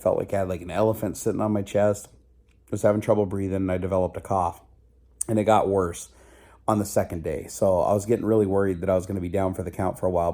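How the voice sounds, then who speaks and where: Low, dark-voiced man, a bit hoarse. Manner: rambling, self-mocking, loud. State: slightly rough, recovering voice; stuffy nose. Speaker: vlogger. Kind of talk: casual video diary.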